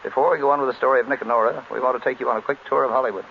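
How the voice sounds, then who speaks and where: A man announces in old-time radio style at a racing pace. His voice has some gravel in it. The recording is dull and very noisy. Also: mid-range voice, steady tone, very fast. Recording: muffled, very noisy vintage radio broadcast.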